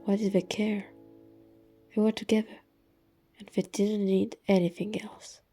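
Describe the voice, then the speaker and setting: Breathy, hushed woman storyteller. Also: medium pitch, flat delivery, measured pace, soft background music. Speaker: narrator. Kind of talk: scripted story narration.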